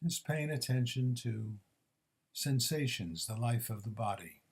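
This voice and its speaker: A man with a mid-pitched voice guides a body scan meditation. He gives gentle instructions in short, measured phrases. Pauses separate one phrase from the next, in the manner of a mindfulness teacher. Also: lively intonation, slightly rough.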